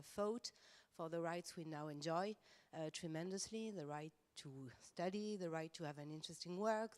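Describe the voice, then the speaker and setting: French-accented woman, very low pitch, earnest, hesitant, panel talk. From France. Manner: earnest and passionate, many hesitations. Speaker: professor-researcher on a panel. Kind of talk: round-table panel talk. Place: conference room.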